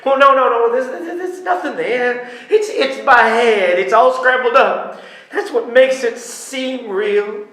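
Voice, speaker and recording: A man voicing a frightened male prisoner who stammers and shouts his denial of death. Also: very high-pitched, a little slow, recorded from a distance, voice pushed up. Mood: panicky. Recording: very echoey room.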